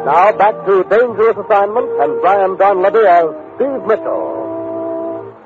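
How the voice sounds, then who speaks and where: A man's voice: high-pitched, huge pitch swings, measured pace, loud music behind the voice. An American man reading a scripted 1950s radio announcement in an emphatic, formal, earnest manner. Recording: tinny, phone-like vintage radio recording.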